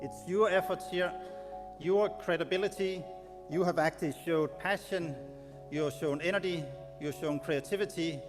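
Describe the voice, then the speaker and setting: A man from Denmark addresses participants in a speech. His voice is fairly high for a man and carries the reverb of a hall, with music clearly playing over it. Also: normal speed. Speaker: event speaker.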